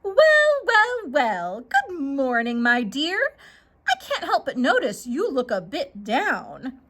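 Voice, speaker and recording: A woman's voice: high voice, huge pitch swings, slow pace, a bit bright, exaggerated voice. A woman voicing a short, round, grinning stranger, a wheedling trader with gushing sales patter, in a children's story. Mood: oily, flattering.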